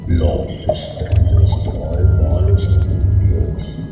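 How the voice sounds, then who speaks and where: A man playing an ominous oracle spirit in a radio drama, solemn and drawn-out, through heavy reverb. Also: low voice, flat delivery. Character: ominous spirit of a divination bowl. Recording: echoey long reverb, distant, loud music.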